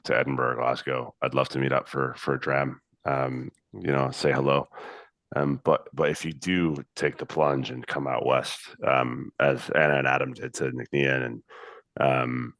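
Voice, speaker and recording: An American man talking casually on a video call about his distillery, relaxed and halting with many fillers. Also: a bass voice, dry recording, studio quality. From United States. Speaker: distillery representative.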